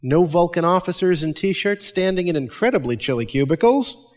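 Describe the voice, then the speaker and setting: A man's voice: high-pitched, expressive, normal speed. A man playing an enthusiastic audio-show actor, wry and theatrical, in scripted comedy dialogue. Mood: eager.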